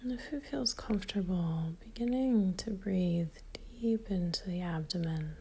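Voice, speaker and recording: A woman guides a meditation in a soft, breathy near-whisper. Her voice is fairly low and mellow, with a slight creak at the edges. She is calm and gentle, leaving long pauses between phrases. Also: slight background noise.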